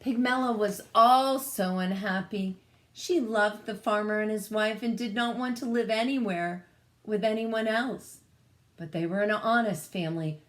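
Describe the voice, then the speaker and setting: A woman reading a children's story aloud expressively, adding casual asides as she goes. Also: mid-range voice. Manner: expressive, pausing to comment on the pictures. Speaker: picture-book reader.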